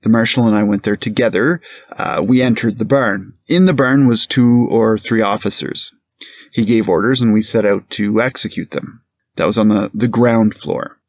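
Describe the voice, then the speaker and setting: A man's voice: fairly low pitch, normal speed, no room sound. A man playing a precise, deferential police captain giving hesitant, formal testimony in a courtroom reenactment. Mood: careful, formal. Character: careful, plain-spoken male police captain testifying as a witness. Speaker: witness.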